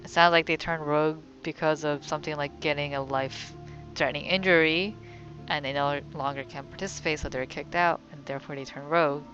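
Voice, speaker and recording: A woman's voice: low-pitched, fairly even intonation, brisk, fairly bright tone. A woman giving casual let's-play commentary as the host of a gaming video. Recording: slightly dull, lo-fi voice track. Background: game music.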